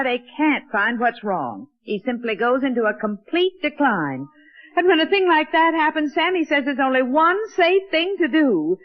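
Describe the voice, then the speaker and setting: Fretful, insistent mother, high voice with lively swoops, vintage radio comedy, muffled recording. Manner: earnest, insistent. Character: anxious, fussing middle-aged mother. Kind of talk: 1940s radio comedy acting. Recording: dull, band-limited old radio recording.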